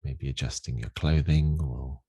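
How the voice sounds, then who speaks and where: A man leading a guided meditation in a gentle, unhurried, soothing manner with long pauses. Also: rumbling low, steady tone, slightly rough, dry recording, clean studio sound. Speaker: meditation teacher.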